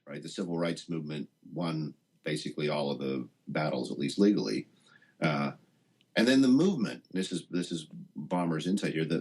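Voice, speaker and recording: Very deep, husky-voiced male professor explaining. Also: a little mellow. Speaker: interview guest, professor of science and religion. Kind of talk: explanatory conversational interview answer.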